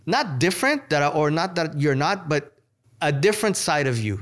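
A man's voice: a little high, sing-song, normal speed, fairly bright tone. A man with a Portuguese accent, hosting a conversational interview and relating the guest's story to his own experience. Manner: engaged, sharing own experience, encouraging. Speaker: host.

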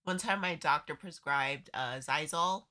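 A woman's voice: low voice, unhurried, bright, forceful tone. Congested, nasal chatty woman. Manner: laughs often, chatty. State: congested from allergies. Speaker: podcast co-host. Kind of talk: casual chat.

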